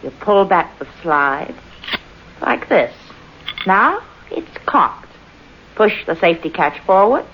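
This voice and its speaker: Scornful, domineering wife in noisy old radio drama. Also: female voice, medium pitch, sing-song, slow, long pauses, muffled recording. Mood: contemptuous, menacing. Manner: mocking, commanding, theatrical. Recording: noisy vintage radio recording.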